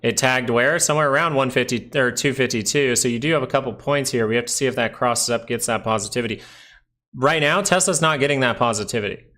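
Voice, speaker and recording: A man's voice: medium pitch, quick pace, pushed and bright. Nasal-voiced man chatting through live charts. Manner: chatty, rambling, lots of "like" fillers. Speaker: stock market livestream host. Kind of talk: casual live market commentary.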